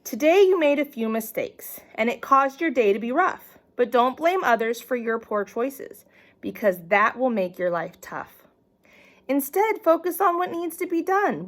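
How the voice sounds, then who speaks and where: A woman as a calm, firm storybook mom. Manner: low, slow, patient lecturing. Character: calm, firm mother lecturing her child.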